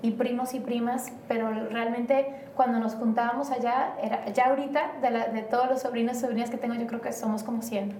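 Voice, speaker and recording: A woman speaks as an interview guest, her voice mellow and set a little high. She wanders through her thoughts with plenty of fillers and restarts. The recording is roomy and a bit distant from the mic, with faint noise underneath. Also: fairly even intonation, a little fast, hardly any pauses. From Mexico. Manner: rambling, hesitant, many fillers. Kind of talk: casual interview conversation.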